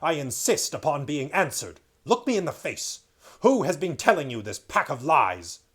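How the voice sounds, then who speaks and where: Man voicing a stern storybook king, booming and threatening, loud. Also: lively intonation, moderate pace, close to an everyday voice. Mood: commanding. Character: stern, menacing middle-aged male king.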